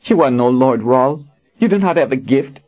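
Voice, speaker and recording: A man's voice: high voice, moderate pace, voice pushed up, natural voice. A man voicing a fierce, proud young warrior woman who speaks with an intense, urgent edge. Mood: bitter. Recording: dull lo-fi audiobook recording.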